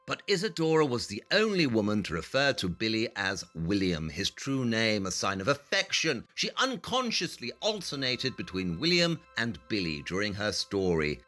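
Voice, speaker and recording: A razor-sharp detective, voiced by a man in an audio drama talks in a bright, forceful tone. He walks through his reasoning with dry, precise confidence, and his pitch rises and falls freely. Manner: precise, deductive, drily confident.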